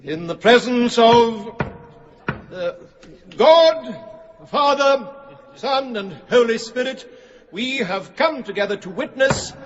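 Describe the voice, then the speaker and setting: An actor playing an earnest English village vicar leading a wedding in a radio drama, in an echoing space. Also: male voice, very high voice, expressive, slow pace, long pauses, slight background noise, full character voice. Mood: ceremonial. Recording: echoey church acoustic, dull, faint music.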